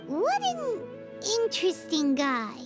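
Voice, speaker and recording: A woman voicing a tiny, excitable childlike fairy companion in an anime video game, with a squeaky, earnest delivery. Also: takes it slowly, over loud music, heavily acted. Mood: curious. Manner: squeaky, speaks of self in third person.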